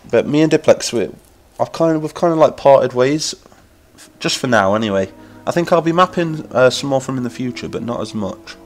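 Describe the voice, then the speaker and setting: A man's voice: mid-range voice, animated, brisk, hardly any pauses, faint music behind. A man from Lincolnshire with an English accent, chatting enthusiastically on a live stream while nasal from a cold. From England. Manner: chatty, enthusiastic, rambling, reading chat aloud. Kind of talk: casual live-stream chat while gaming.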